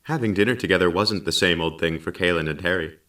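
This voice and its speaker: Deep-voiced patient male narrator, slightly distant recording. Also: quick pace. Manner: calm, patient explaining. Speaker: storyteller narrator.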